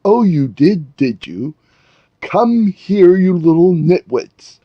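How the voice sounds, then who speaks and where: A man's voice: sits high, big pitch swings, slow pace, no echo, muffled recording, pitched above the performer's own voice, exaggerated voice. A man voicing a wicked, imperious sorceress in a storybook, haughty, scornful and commanding with the henchmen.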